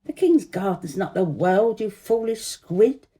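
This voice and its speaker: A big, self-assured male storybook firework, put on by a woman, emphatic and opinionated. The tone is very mellow, and the pitch moves boldly up and down as he pontificates. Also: expressive, unhurried, lightly acted. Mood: assertive.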